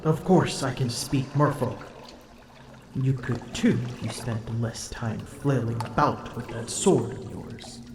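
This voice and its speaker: A woman's voice: very deep voice, roomy sound, background noise. A woman voicing a proud, smug female dragon in human form, haughty and teasing, in an ASMR roleplay. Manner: haughty, teasing, slow and deliberate.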